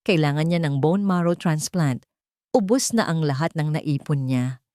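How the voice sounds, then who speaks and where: A woman's voice: sits low, a little slow, darkish tone, no echo, clean studio sound. A woman voicing an earnest, deferential younger brother, serious and respectful, in a narrated romance drama. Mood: earnest, pleading.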